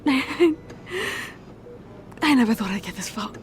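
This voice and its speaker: A woman voicing a distraught young fiancée in an audio roleplay, talking haltingly with stammers and repeated words in a shaky, tearful voice. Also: sits high, sing-song, slight background noise, heavily acted. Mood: tearful relief. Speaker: ASMR roleplay performer.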